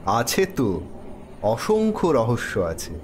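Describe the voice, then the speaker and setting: A man playing an affectionate father in an audio story, gently teasing and musing with his child. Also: mid-range voice, wildly swooping pitch, slow, background noise. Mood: fond, musing. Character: affectionate father teaching his young daughter about stars.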